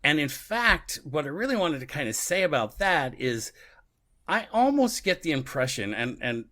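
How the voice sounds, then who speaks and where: A man's voice: a little high, sing-song, moderate pace, pushed and bright. A man co-hosting a podcast in casual, reflective conversation, often repeating himself for emphasis. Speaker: podcast co-host. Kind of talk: casual discussion of an audio drama.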